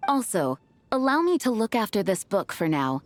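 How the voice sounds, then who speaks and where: Woman voicing authoritative knight captain, courteous, formal, expressive melody, video game, faint music behind. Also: mid-range voice, moderate pace, no echo, close to an everyday voice. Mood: kindly. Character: poised, dutiful female knight commander, kind and authoritative. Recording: background music under voice.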